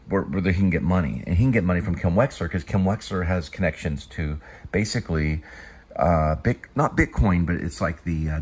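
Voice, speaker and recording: A man in his fifties with a very low, husky voice hosts a casual vlog. He rambles and digresses, halting and restarting his phrases as he goes. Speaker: show host, actor and teleplay writer.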